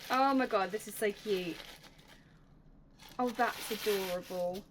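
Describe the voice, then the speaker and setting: A young woman hosting a casual unboxing vlog, chatty and enthusiastic, her voice slightly raspy and going hoarse. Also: mid-range voice, unhurried, background noise. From United Kingdom. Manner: chatty, enthusiastic, drops to soft whispers at times. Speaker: YouTube host.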